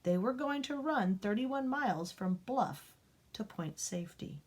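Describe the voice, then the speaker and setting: Woman storybook reader, unhurried, expressive with dramatic hushes; for a children's read-aloud. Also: on the high side. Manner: expressive, rising and dropping to a hush for drama. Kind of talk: reading a picture book aloud to children.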